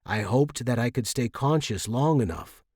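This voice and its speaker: A man's voice: medium pitch, lively intonation, normal speed, a little mellow, husky, no echo, studio quality. A man reading scripted first-person fiction as an audiobook narrator.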